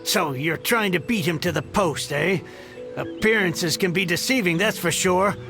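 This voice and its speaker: High-voiced, flustered merchant. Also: male voice, moderate pace, music in the background. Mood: flustered, haggling. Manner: stammering, flustered. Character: anxious, harried male merchant, flustered and easily astonished. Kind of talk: video game character acting.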